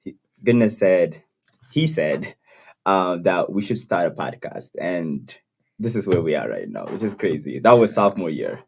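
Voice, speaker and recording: A man's voice: low-pitched, very animated, normal speed, vocal fry, a little mellow, slight background noise. A young man from Ethiopia, co-hosting a podcast in easygoing casual chat, often saying "you know" and "yeah". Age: young adult. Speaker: podcast co-host. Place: room, seated in chairs.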